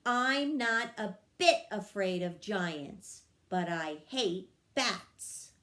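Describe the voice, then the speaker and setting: A woman's voice: medium pitch, expressive, drawn-out words, a bit bright, slight background noise. A woman voicing a small, feisty young girl fairy who is boastful and defiant, in a children's storybook read-aloud. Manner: boastful, emphatic.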